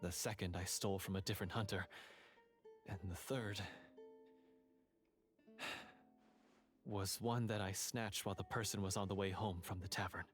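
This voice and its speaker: A man's voice: sits low, fairly even intonation, moderate pace, pauses often, lightly acted. An actor playing an earnest, guilt-ridden young male hunter in a video game, with a dramatic, hesitant, self-reproachful delivery. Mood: regretful, ashamed. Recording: clean voice over background music. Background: instrumental game music.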